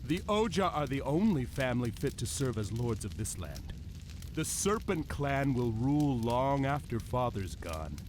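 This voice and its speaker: An actor playing an arrogant, scornful firstborn prince in a video game, haughty and sarcastic. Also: male voice, mid-range voice, sing-song, unhurried, a little mellow, a little hiss or hum. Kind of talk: video game cutscene acting.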